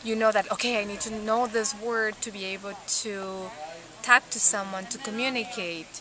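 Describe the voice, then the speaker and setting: A woman's voice: medium pitch, fairly even intonation, normal speed, sharp tone. A woman from Ecuador who teaches Spanish, answering interview questions with enthusiasm and many fillers.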